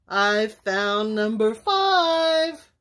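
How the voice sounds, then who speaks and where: A woman's voice: on the high side, big pitch swings, slow pace, heavily acted. A woman voicing a misunderstood male wolf in a children's storybook, earnest, easily hurt and emphatic. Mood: sweet.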